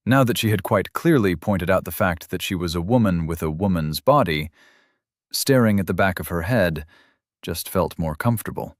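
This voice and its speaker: A male narrator with a deep, low voice reads a fantasy novel in steady, even scripted narration. The recording is close and dry, with no room sound. It has the polished feel of a studio audiobook. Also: normal speed, dry recording.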